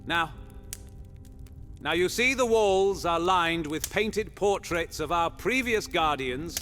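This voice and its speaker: A man's voice: a little high, a bit bright. A man playing a roguish, irreverent monster hunter knight in a fantasy audio roleplay, talking in a theatrical, conspiratorial way. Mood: welcoming. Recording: long hall reverb under music.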